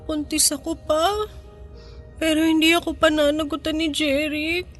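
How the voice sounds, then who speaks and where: A distinctly high voice over prominent music gives us a woman acting a vulnerable young Filipino daughter. She is emotional, loving and pleading, in the manner of radio drama. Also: level tone, normal speed, close to an everyday voice. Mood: distressed, confessing.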